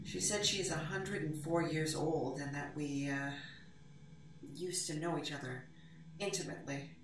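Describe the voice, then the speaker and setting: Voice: medium pitch, unhurried, close to an everyday voice. An actor playing a dry, rambling amnesiac podcaster confiding in a recorder in an echoey bathroom, as an audio drama. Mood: confiding, uneasy. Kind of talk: conversational audio diary in a drama. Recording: very echoey bathroom, distant, noisy.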